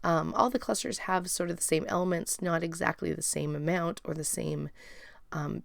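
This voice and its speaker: A woman with a raspy, strained voice talks through her scrapbook page in a casual voiceover, with plenty of ums and uhs. Her voice sits fairly low and has a heavy gravelly fry. It is recorded close and dry, with no room sound at all. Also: normal speed, no echo. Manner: relaxed, chatty, many ums and uhs. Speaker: scrapbooking video host. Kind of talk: casual voiceover narration of a craft process.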